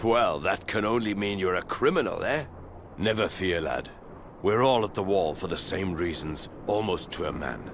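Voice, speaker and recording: Gruff joking watch chief, video game cutscene, deep sardonic voice, noisy ambience behind. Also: male voice, lively intonation, moderate pace. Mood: teasing. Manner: teasing, sardonic. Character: gruff joking male patrol chief of a fantasy wall watch.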